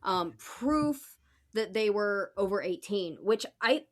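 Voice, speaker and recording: A woman's voice: mid-range voice, expressive, takes it slowly. A woman on a podcast panel discussing politics in an informal, opinionated way.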